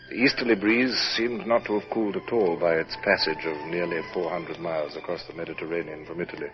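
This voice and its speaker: Captain-narrator, male actor, very low register, measured literary delivery, dull vintage radio drama sound. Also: close to an everyday voice. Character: British naval captain narrating his own adventures, composed. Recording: muffled old radio, faint music behind.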